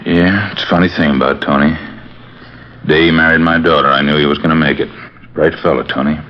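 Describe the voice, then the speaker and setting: A man's voice: extremely low pitch, fairly even intonation, brisk, a little hiss or hum. An actor playing a weary, brooding elderly father-in-law in a 1950s radio drama, rambling in a folksy, repetitive way. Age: older. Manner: rambling, folksy, repeats himself. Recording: muffled vintage radio, music behind.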